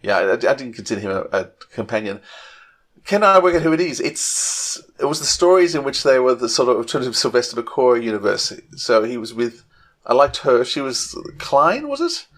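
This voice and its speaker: A man's voice: medium pitch, big pitch swings, moderate pace, a bit bright. Middle-aged man chatting casually, thinking aloud. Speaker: podcast co-host. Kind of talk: casual fan chat.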